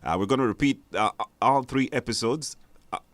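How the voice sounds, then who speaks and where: A man's voice: medium pitch, a little fast, a bit bright, no echo. Man hosting radio, dry studio sound. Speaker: radio call-in show host. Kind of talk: casual live call-in hosting.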